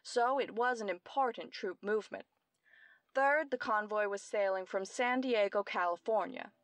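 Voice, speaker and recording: A brilliant, self-assured young woman detective played by an actress in an old-time radio mystery. She explains each clue precisely and theatrically, in a mid-pitched voice. The sound is close and dry. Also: normal speed, no echo, lightly acted. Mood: intent, explanatory.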